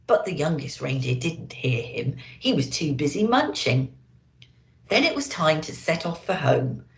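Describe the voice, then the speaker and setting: A woman reading a picture book to children as a storyteller, in an expressive and deliberate way. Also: deep voice, creaky, echoey room. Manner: deliberate, animated, addressing children.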